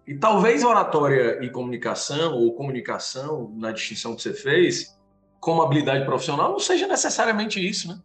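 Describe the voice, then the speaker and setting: Man, fairly high voice, hesitant chat, roomy echo, faint music. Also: fairly bright tone. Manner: hesitant, repeats words as he starts. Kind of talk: casual conversation.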